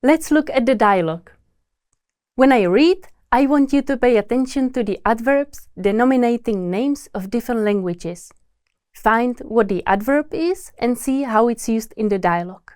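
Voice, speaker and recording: A woman teaching a scripted language lesson, repeating each word slowly with pauses. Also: on the high side, lively intonation, darkish tone, smooth, no room sound, clean studio sound. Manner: repeats each word slowly and deliberately, pausing between repetitions.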